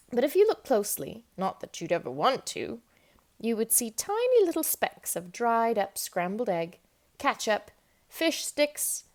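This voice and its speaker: A woman reading a children's book aloud in an expressive, emphatic storytelling manner. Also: medium pitch. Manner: animated, emphatic storytelling with dramatic stresses. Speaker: storybook reader.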